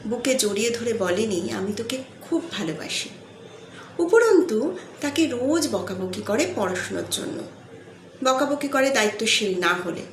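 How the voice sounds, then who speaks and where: A woman telling a sentimental motivational story in an earnest, deliberate way, pausing between phrases. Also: a little high. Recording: echoey room, faint background noise.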